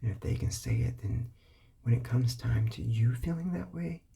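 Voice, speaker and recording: A man whispering calm, patient parenting advice, repeating his points as he explains. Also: medium pitch, moderate pace. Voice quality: whispery, breathy, gravelly.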